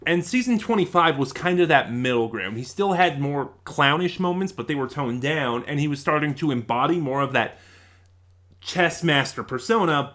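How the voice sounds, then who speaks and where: A man's voice: a little high, fairly bright tone. A man reviewing in a casual, unscripted way, often rephrasing and searching for words. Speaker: YouTube reviewer.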